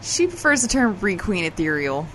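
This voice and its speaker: A woman's voice: lowish voice, very animated, moderate pace, a bit bright, slight background noise. A woman playing an exasperated, dry-witted character in casual audio drama banter, sardonic and matter-of-fact.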